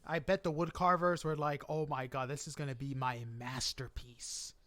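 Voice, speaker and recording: A man's voice: fairly high pitch, moderate pace, fairly bright tone. A man hosting a podcast interview in a casual, conversational style.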